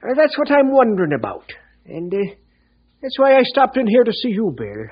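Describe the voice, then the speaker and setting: An actor playing a soft-hearted middle-aged Irish policeman with a folksy, gently weary brogue in an old-time radio drama. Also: extremely high pitch, huge pitch swings, moderate pace, exaggerated voice. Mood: earnest, kindly. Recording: muffled old radio broadcast recording.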